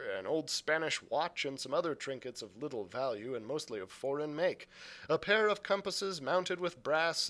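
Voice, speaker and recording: A man narrating a storybook aloud as a librarian would, with deliberate, dramatic phrasing. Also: fairly high pitch, fairly bright tone. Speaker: youth services librarian reading aloud.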